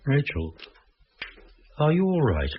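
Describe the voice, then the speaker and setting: An actor playing a concerned, steady husband who takes charge in a radio drama. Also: male voice, medium pitch, slow, slight background noise. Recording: dull old radio recording.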